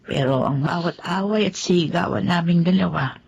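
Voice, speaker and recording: An actress playing an older, remorseful woman in mourning in an emotional radio drama, speaking in a halting, heartfelt way. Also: on the low side, gravelly, darkish tone, breathy edge, highs cut off. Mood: regretful. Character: grieving, remorseful old woman mourning her longtime rival. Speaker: radio drama lead actor. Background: music.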